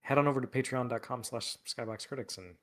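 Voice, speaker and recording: Male co-host, loose rambling delivery with many fillers, average pitch. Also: fast talker, fairly bright tone. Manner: rambling, hesitant, filler-heavy. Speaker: editor-in-chief, podcast co-host. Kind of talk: casual podcast chat.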